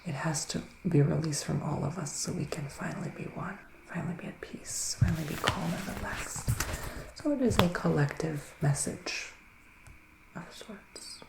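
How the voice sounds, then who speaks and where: A man playing a gentle, gloomy psychic witch in an ASMR tarot roleplay, speaking softly in a hushed, unhurried way that often slips into whispers. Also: fairly high pitch. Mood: soothing, mysterious. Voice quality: soft, breathy, often slipping into whispers. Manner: hushed, unhurried, musing, with dramatic pauses. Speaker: ASMR performer, tarot reader. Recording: roomy sound with faint background noise.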